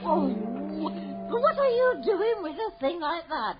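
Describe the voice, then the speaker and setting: An actress playing a polite, fluttery, easily shocked housewife in a radio drama. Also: female voice, sits high, sing-song, takes it slowly, loud music behind the voice, heavily acted. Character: genteel, easily shocked English housewife and mother. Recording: dull 1980 radio recording.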